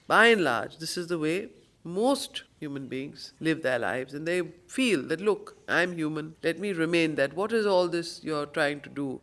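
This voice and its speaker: A woman's voice: deep voice, fairly bright tone. Woman teaching spiritual poetry. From India. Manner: deliberate, emphatic, repeats phrases to explain them. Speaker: study circle facilitator and teacher. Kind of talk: spiritual poetry reading with explanatory commentary.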